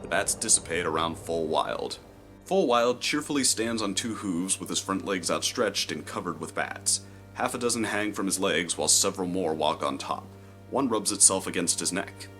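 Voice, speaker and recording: A man reading scripted story narration in a measured, descriptive way. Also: deep voice, fairly bright tone, soft background music.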